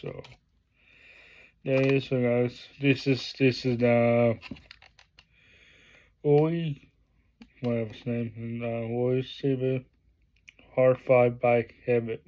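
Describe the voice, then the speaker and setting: A man talking casually through an unboxing vlog, halting with many fillers and restarts, praising what he shows. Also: medium pitch. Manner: plain enthusiastic praise in a flat delivery. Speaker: vlogger.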